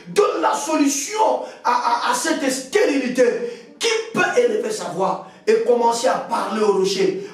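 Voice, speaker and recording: A man preaching and praying fervently in an insistent, commanding, repetitive way, often raising his voice to a shout. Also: very high voice. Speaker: preacher leading a prayer meeting. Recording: very echoey hall, distant from mic.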